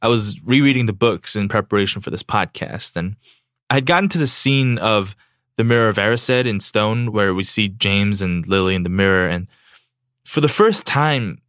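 Low-voiced young man reading a reflective essay. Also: moderate pace, fairly bright tone, dry recording, clean studio sound. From South Korea. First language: Korean. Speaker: podcast producer. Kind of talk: reading a prepared personal essay.

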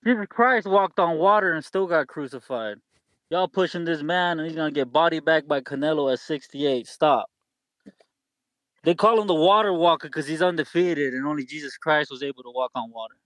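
A man's voice: on the high side, normal speed, fairly bright tone, no room sound, low-quality recording. A man calling in to a boxing show, talking in a heated, profane way and slipping into Spanish. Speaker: caller. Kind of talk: casual call-in chat.

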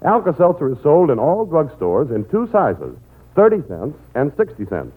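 A man's voice: on the high side, huge pitch swings, moderate pace, husky. An American man announcing a sponsor message in 1940s radio advertising style. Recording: muffled old narrow-band radio recording.

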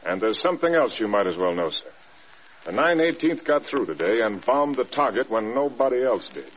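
An actor playing a stern, defiant air force general in a vintage radio drama, speaking in brisk, clipped military phrases. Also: male voice, medium pitch, big pitch swings. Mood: defiant, firm. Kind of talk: 1940s-50s radio drama acting. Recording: muffled, hissy old radio recording.

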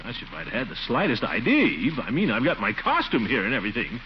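A man's voice: mid-range voice, huge pitch swings, fast talker, dull audio. An actor playing a blustering, easily wounded middle-aged bachelor in 1940s radio comedy style, hemming and chuckling as he talks. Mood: indignant, wounded. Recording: noisy band-limited old radio recording.

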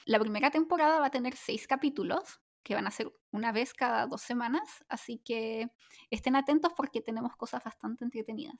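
Chatty upbeat woman, high voice. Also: a little fast. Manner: chatty and upbeat, long flowing anecdotes. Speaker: podcast co-host. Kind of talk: casual chat.

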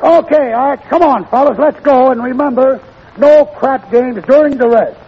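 Very high-voiced brash bandleader. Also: male voice, normal speed. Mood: brisk, rallying. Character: excitable, defensive dance bandleader, brash man. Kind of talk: 1940s radio comedy acting. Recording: dull old radio recording, crowd, faint noise.

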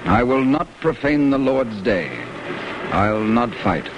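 A firm pious ship captain, old radio drama, lively swings in pitch, noisy sound. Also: male voice, lo-fi sound. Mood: resolute. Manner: measured, firm, formal. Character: calm, stubborn, devout schooner captain, adult man. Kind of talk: 1940s radio acting.

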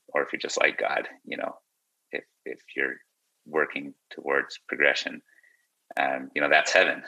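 Very deep, bright-edged young man. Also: poor audio. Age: young adult. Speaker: podcast guest. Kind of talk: casual, reflective conversation.

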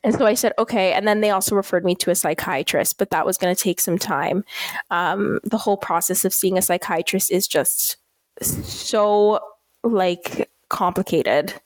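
A woman's voice: mid-range voice, normal speed, no echo. A woman, talking candidly and informally as a podcast guest telling her own story. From Canada. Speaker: podcast guest recounting personal experience.

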